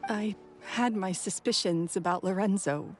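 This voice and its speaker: A woman playing a wary, timid young woman in a video game, hesitant, soft-spoken and apologetic. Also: medium pitch, unhurried, faint music behind, lightly acted. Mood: relieved, apologetic. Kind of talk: English video game voice acting.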